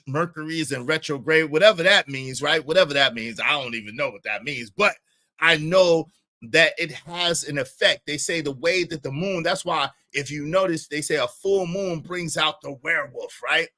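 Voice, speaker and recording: Bright, forceful American man livestreaming. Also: a little high, moderate pace. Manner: emphatic, repeats phrases, tags statements with "right?" and "okay?". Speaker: livestream host. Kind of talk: casual livestream monologue to viewers.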